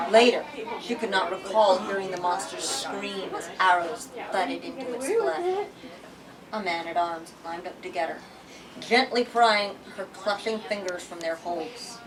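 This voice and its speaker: Woman storyteller, distant mic, audience around. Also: mid-range voice. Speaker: bookstore lit talk leader reading aloud. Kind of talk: dramatic story reading to a group. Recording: distant microphone, room with audience noise.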